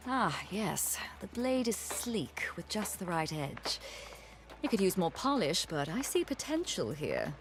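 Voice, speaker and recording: A woman playing a stately, earnest female blacksmith in a video game, speaking in a formal, measured manner. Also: on the low side, sing-song, music in the background. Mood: appraising, approving. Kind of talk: video game character acting.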